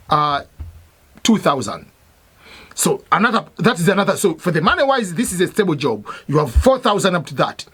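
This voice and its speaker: A man who is a native Swahili speaker, a military veteran, explaining things in a steady, repetitive way with many fillers and restarts. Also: high voice, breathy edge. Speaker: military veteran giving advice. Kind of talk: explanatory talk to immigrants.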